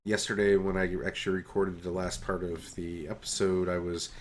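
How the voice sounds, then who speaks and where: A man's voice: sits low, little pitch movement, moderate pace, slight background noise. A man with a stuffy, congested nose casually commenting on his gameplay, hesitant and full of ums and uhs as he explains each step. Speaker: host of a gameplay channel.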